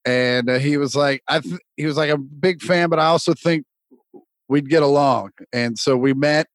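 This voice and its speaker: Laid-back comedian guy on a podcast, voice a bit on the high side, dry mic. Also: normal speed, no room sound. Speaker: guest comedian. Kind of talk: casual chat.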